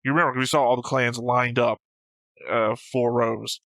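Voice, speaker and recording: A man's voice: medium pitch, little pitch movement, normal speed, creaky, a bit bright, dry recording. A man co-hosting an anime reaction show, chatting casually and unscripted in a dry, teasing way. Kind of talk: casual unscripted banter and commentary.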